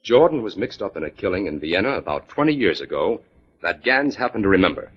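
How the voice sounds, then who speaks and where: An actor playing a self-assured, wry detective who explains things confidently in a 1940s radio drama style. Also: male voice, deep voice, sing-song, fairly quick, slightly rough, heavily acted. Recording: dull old 1940s radio recording.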